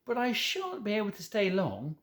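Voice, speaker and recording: A man's voice: sits high, wildly swooping pitch, normal speed, voice pushed up. A man voicing a polite, hesitant young girl from a children's storybook. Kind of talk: children's storybook read aloud.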